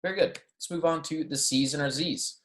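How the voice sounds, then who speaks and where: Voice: medium pitch, fairly quick, a bit bright. A teacher giving an introductory pronunciation lesson in an instructional way, often checking in with the class and modelling words to repeat. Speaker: Spanish teacher. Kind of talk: introductory language lecture on pronunciation, with repeat-after-me examples. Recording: roomy, echoey sound.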